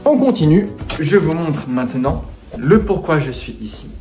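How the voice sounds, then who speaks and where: A man's voice: a little high, animated, moderate pace, over loud music. A man casually talking to camera as he walks through an apartment he is renovating, explaining as he goes. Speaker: renovation vlogger. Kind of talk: casual walkthrough talk to camera. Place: apartment indoors.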